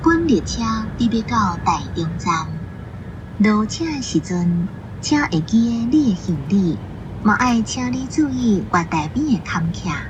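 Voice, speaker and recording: A woman's voice: very animated, a little slow, lo-fi sound. A woman with a Taiwanese accent playing a formal, courteous train announcer giving a scripted public announcement. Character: polite female train announcer. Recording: clear train background noise. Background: train rumble and ambience.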